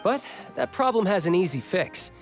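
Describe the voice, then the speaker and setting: A man's voice: high voice, very animated, normal speed, vocal fry, exaggerated voice. A young man voicing a breezy, battle-hungry warrior in a video game who is still recovering from a fight. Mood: casual. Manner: breezy and casual, with coughs. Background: game music.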